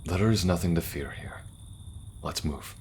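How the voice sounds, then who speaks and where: Devout fantasy bishop played by a man for audio drama: rock-bottom gravelly voice, solemn and measured, commanding presence. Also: sits low, darkish tone, roomy sound, slight background noise. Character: stern, devout bishop of a light church.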